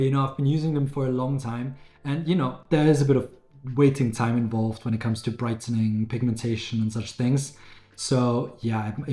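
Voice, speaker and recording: Male vlogger, hoarse raspy voice, chatty and rambling, roomy echo, faint music behind. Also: medium pitch, warm tone. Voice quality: raspy, slightly lost voice. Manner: chatty and rambling, self-correcting. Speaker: beauty vlogger. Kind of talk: casual chat to camera.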